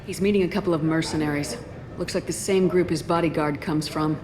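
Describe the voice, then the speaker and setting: Brisk, low-voiced female space soldier, acted by a woman. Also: steady tone, crowd noise, lightly acted. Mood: focused. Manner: terse, assured, businesslike. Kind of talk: video game dialogue.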